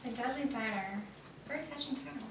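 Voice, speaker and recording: Friendly female colleague, played by a woman, high voice, brisk encouraging delivery, distant stage pickup. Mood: friendly, curious. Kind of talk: stage play acting. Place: theater stage, distant mic. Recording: distant echoey stage with clear noise.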